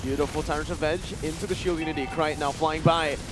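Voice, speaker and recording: A man's voice: a little high, fairly even intonation, quick pace, over music. A man giving live play-by-play and analysis of an esports match in punchy, clipped phrases. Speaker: esports shoutcaster.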